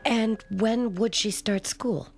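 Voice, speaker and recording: An actress playing a gentle, protective, anxious motherly woman in a radio drama, soft and hesitant in her speech. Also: mid-range voice, fairly even intonation, takes it slowly, vocal fry, soft background music. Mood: anxious pleading. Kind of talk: vintage-style radio drama acting.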